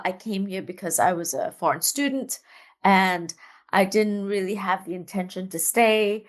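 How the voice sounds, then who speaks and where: A middle-aged woman from Singapore speaks as a guest over a remote call, in an easy, conversational way. She laughs often and restarts her sentences as she talks. Her pitch sits at an ordinary middle height for a woman. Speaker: guest, dance artist. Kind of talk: casual interview chat.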